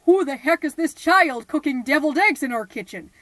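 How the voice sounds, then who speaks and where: A woman voicing an angry, bewildered husband in a comedic podcast story, shouting indignantly. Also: high voice, lively intonation, brisk, dry recording, pitched above the performer's own voice. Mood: outraged.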